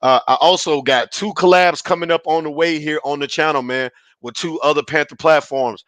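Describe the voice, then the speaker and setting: A man hosting a casual sports podcast, his voice raspy and hoarse from yelling, talking in a hyped, slangy way on a headset mic. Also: fairly high pitch, steady tone, normal speed, dry recording. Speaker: podcast host.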